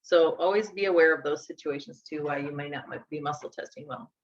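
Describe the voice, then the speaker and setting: A woman's voice: deep voice, a little fast. A woman in her forties teaching a live workshop, conversational as she talks through each step aloud. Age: 40s. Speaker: instructor. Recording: dull lo-fi sound.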